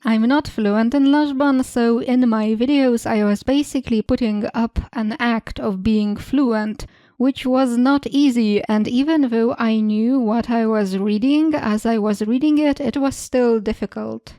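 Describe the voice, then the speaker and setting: A young woman with a Polish accent, Polish her native language, calmly reading questions and her answers as a channel host. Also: fairly high pitch, a little slow, no room sound. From Eastern Europe. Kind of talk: scripted Q&A narration.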